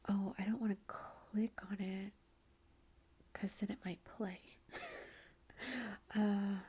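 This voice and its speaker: A woman hosting an ASMR channel, whispering every word close to the microphone. Her whisper is very breathy and mellow, and she takes her time, drifting through thoughts with ums and little laughs. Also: medium pitch. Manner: whispering throughout, rambling with fillers and soft laughs.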